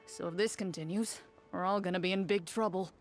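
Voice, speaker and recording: Brief: gruff, penny-pinching game merchant. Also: male voice, sits high, fairly even intonation, fairly quick, faint music behind. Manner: gruff, dismissive, haggling. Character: stingy, surly middle-aged male city merchant. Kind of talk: video game dialogue.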